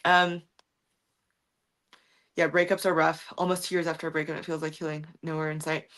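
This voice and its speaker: A young woman with a naturally deep, low-set voice talks through viewer questions in a loose, rambling way. She is blunt and swears freely, and her sentences are padded with ums and likes. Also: level tone, moderate pace, fairly bright tone. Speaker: content creator answering viewer questions. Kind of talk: casual livestream chat.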